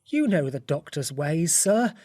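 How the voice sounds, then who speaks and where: A man playing a frightened, deferential butler in a dramatic audiobook, speaking in a dogged, halting manner. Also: a little high, big pitch swings, warm tone, no room sound, studio quality. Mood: anxious.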